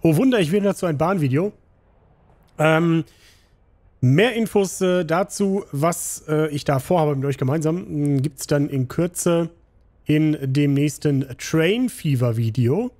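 Slightly hoarse man with a mellow voice. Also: a little high, a little slow. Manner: rambling, relaxed, many fillers. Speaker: gaming channel host. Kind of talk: casual game commentary.

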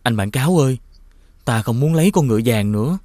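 A man voicing an earnest young prince in an animated fairy tale, speaking expressively. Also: mid-range voice, fast talker, no echo, studio quality, lightly acted. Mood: resolute.

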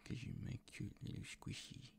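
A man playing a doting, gently dominant boyfriend in an intimate ASMR roleplay, speaking in a soft, coaxing, reassuring way with a breathy, gravelly whisper. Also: normal speed, a little hiss or hum, natural voice. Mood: adoring. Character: doting, gently dominant, teasing boyfriend comforting his partner.

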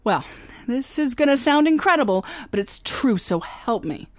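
A woman's voice: fairly high pitch, very animated, moderate pace, dry recording. Woman as wisecracking female private eye, confident, old-time radio drama, dry studio. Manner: breezy, wisecracking narration. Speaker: actor.

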